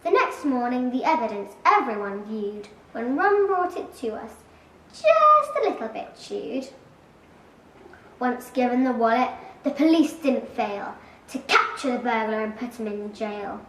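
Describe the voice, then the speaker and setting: A woman's voice: a little high, very animated, measured pace, a bit bright. A school-age girl of about nine or ten giving a dramatic recitation of a comic poem. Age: child, about 9 or 10. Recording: echoey room, distant mic, faint noise.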